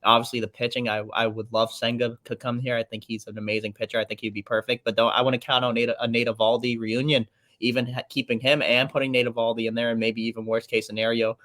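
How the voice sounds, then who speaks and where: A man co-hosting a casual sports podcast, talking in a rambling, monotone way. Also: medium pitch, quick pace, dry recording. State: slightly raspy throat. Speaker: podcast co-host.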